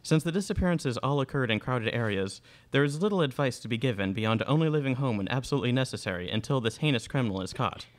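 A young man playing an earnest news reporter in a student radio play, reading formally and gravely. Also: medium pitch, animated, quick pace, hardly any pauses, lightly acted. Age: young adult. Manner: formal, grave, read from script. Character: earnest male TV news reporter giving an emergency bulletin. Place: indoor studio stage. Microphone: microphone on boom stand.

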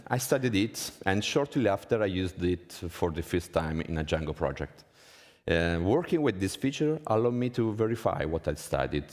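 Low-pitched Italian man in a reverberant hall. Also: a little mellow. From Italy. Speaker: conference speaker. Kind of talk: prepared conference talk. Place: conference hall stage, indoors.

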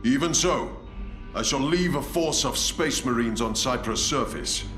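An actor playing a stern, resolute space marine commander in a video game, speaking solemnly and formally. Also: male voice, medium pitch, a little slow, fairly bright tone, echoey room, faint music behind, natural voice. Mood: grave, firm. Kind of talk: dramatic video game acting.

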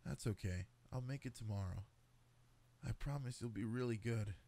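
A man playing an affectionate cat-boy boyfriend in an intimate sleep-aid roleplay, speaking softly and coaxingly. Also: medium pitch, moderate pace, vocal fry. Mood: caring. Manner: soft, tender, coaxing, intimate. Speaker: roleplay voice actor.

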